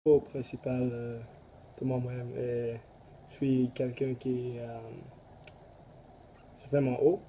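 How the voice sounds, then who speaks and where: Halting teenage boy from Canada, dark flat voice. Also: mid-range voice, slight background noise. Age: teens. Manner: halting, filler-heavy. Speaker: student. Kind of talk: casual self-introduction.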